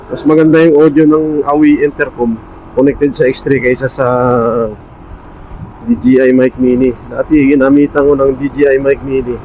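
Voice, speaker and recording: Male Filipino motovlogger, mid-pitched, casual and offhand thinking aloud, slightly lo-fi helmet mic sound. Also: level tone, normal speed. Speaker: motorcycle vlogger. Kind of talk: casual vlog chat while riding. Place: outdoors on a motorcycle at night, in traffic. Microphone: helmet intercom mic under the visor. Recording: dull intercom mic with road noise. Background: faint vehicle and road noise.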